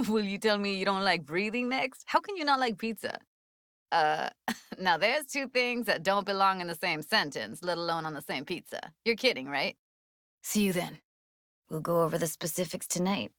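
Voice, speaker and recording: A woman's voice: medium pitch, expressive, moderate pace, fairly bright tone, no room sound, studio quality, close to an everyday voice. An actress playing a tough, sardonic, emotionally raw young woman techie in a video game, talking in a blunt, slangy, streetwise way. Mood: playful, teasing, then soft, caring. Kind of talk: naturalistic video game voice acting.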